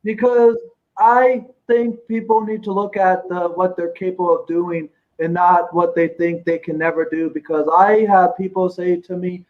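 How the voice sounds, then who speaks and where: A man with a high voice who stutters, stalls on "uh" and repeats words as he talks through his thoughts as a stream guest. The audio is dull and lo-fi. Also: flat delivery. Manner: stuttering, halting, repeats words, many uh fillers. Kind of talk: casual live stream chat.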